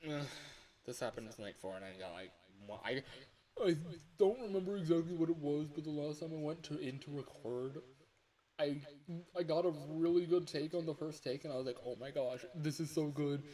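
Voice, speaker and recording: A man's voice: on the high side, fairly quick, mellow, poor audio. A tired man chatting casually on a livestream, grumbling and swearing at himself as he records voice lines. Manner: grumbling, self-critical, sweary. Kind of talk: casual livestream chat, self-commentary.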